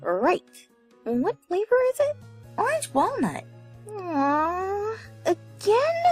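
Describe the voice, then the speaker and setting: A man's voice: extremely high pitch, wildly swooping pitch, slow, voice pushed up, heavily acted. A man voicing young female cartoon ponies in an exaggerated, theatrical way for a story reading. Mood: weary bickering. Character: young female cartoon ponies, bickering housemates, excitable or weary. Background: game music.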